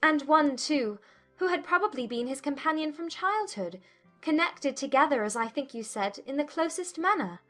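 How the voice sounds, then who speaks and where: Lady playing a young well-bred woman all indignant, really high voice, proper old-time wording, audiobook drama, soft music under. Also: animated, normal speed. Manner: emphatic, formal period speech. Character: spirited, indignant young gentlewoman. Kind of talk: dramatized audiobook character acting.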